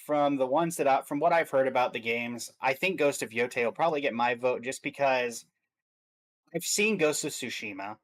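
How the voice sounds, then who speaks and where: A man's voice: mid-range voice, moderate pace. A man co-hosting a stream, chatting casually about video games and thinking aloud as he goes. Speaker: stream co-host.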